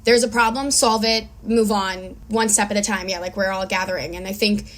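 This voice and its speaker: A woman's voice: medium pitch, steady tone, fairly quick, a bit bright. A young woman from Israel talking casually as a filmmaker guest in an interview.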